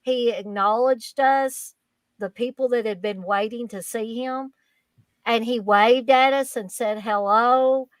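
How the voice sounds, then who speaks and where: A woman with a Southern Kentucky accent, chatting on a podcast with a fairly high, bright-toned voice. She tells her story earnestly and gushes, doubling back on her words when excited. The sound is close and dry, with no room echo. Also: slow pace. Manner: earnest, effusive, repeats herself when excited. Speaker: podcast guest. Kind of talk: casual storytelling chat.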